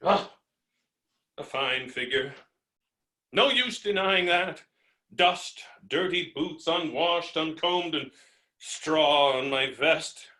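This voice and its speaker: A man's voice: on the high side, slow pace, pauses often, pushed and bright. A man playing a blustering, hot-tempered creditor in a theatrical stage comedy reading, ranting with exasperated, melodramatic exclamations. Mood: rueful. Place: indoor room, recorded remotely. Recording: roomy, echoing virtual staged-reading recording.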